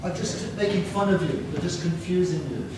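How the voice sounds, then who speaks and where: A man's voice: high voice, a little fast. Older Scottish man, chatty and self-mocking. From Scotland. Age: 60s. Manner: self-deprecating, chatty, asks the audience questions. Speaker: storyteller presenting his artwork. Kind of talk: informal talk to students. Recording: echoey room, distant mic, background noise.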